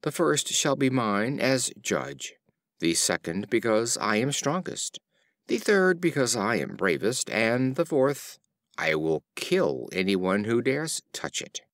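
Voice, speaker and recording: A man's voice: medium pitch, big pitch swings, slow, fairly bright tone, no room sound, clean studio sound, full character voice. A man voicing a boastful, domineering male lion in a storybook fable.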